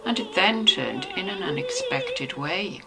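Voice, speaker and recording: A woman narrating a novel in an expressive, scripted storytelling style. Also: on the high side, measured pace, vocal fry, over music, low-quality recording.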